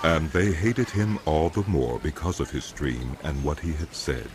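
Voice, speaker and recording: A man giving dramatic, scripted Bible narration in a solemn, deliberate manner. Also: extremely low pitch, a little hiss or hum. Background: music.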